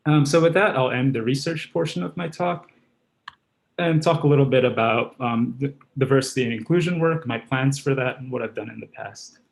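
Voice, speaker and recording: A man's voice: mid-range voice, normal speed, gravelly. A man from Guyana and Trinidad, in his thirties, giving an academic seminar presentation as a researcher.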